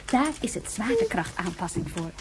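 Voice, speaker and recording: A woman playing a kindly hospital nurse in a radio drama, gentle and coaxing. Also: mid-range voice, wildly swooping pitch, normal speed. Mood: soothing. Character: kindly, soothing young-spirited female hospital nurse. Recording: clearly noisy radio play recording.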